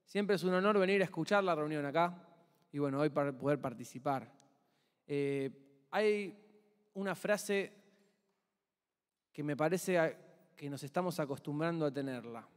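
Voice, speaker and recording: A man's voice: on the high side, moderate pace, long pauses, in a large hall. A young man with an Argentine Spanish accent, preaching a chatty sermon and asking the congregation questions. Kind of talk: conversational sermon. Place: church hall.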